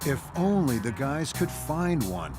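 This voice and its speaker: A man's voice: on the high side, sing-song, a little slow. Wry male documentary narrator over music.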